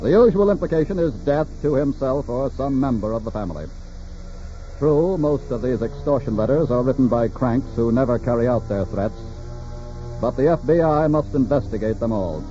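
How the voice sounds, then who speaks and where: A man narrating a 1940s radio crime drama as announcer, grave and dramatic in measured phrases. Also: medium pitch, expressive, dark tone, soft background music. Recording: muffled 1940s radio recording.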